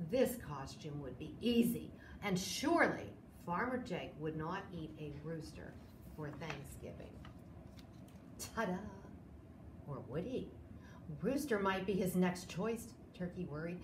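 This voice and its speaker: A woman in her sixties hosting a children's storytime, reading a picture book expressively with drawn-out dramatic phrases. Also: mid-range voice. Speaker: library storytime host. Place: small indoor room, seated. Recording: roomy echo, clear background noise.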